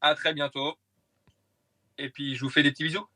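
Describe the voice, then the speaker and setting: A man's voice: a little high, little pitch movement, normal speed, pushed and bright. A man chatting casually and jokingly over a phone call from inside a car, familiar with the person he's calling. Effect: voice heard through a phone call. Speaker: phone caller, friend of the host. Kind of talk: casual chat. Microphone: smartphone on a call.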